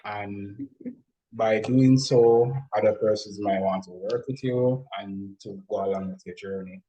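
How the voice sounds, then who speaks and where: A man speaking as a music producer guest, explaining things deliberately, step by step, in an interview. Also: fairly low pitch, fairly even intonation, mellow, rough recording.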